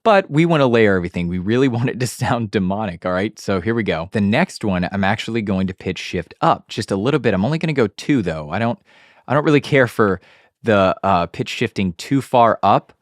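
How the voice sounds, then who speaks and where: A man's voice: fairly low pitch, lively intonation, a little fast, no room sound. A man hosting an audio tutorial in casual, step-by-step talk with frequent check-in tags, close on a studio mic. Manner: informal, frequent check-in tags like okay and all right. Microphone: close studio dynamic mic on an arm.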